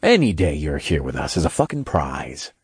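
A man's voice: very deep voice, sing-song, normal speed, slightly rough, no room sound, clean studio sound, voice pushed down, exaggerated voice. A man voicing an easygoing, loyal young king in an audiobook, speaking casually and frankly.

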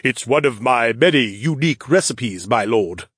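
A man's voice: medium pitch, expressive, normal speed, a bit bright, husky, no echo, clean studio sound. A man voicing a courteous, proud demi-human chef who serves his lord in a fantasy audiobook. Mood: polite pride. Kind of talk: fantasy audiobook dialogue acting.

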